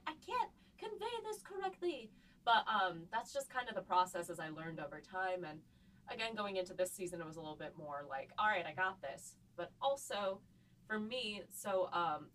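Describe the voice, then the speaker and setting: A woman's voice: lowish voice, fairly bright tone, slight background noise, poor audio. A woman chatting casually as a writer and co-producer in a panel discussion.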